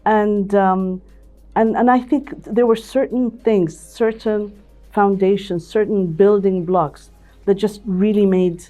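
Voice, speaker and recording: Older woman telling her story, mellow tone, keeps restarting and repeating herself, faint music behind. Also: medium pitch, steady tone, takes it slowly. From Ethiopia. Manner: reflective, many restarts and repeated words. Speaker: CEO and managing director, interview guest. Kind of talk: interview, personal story.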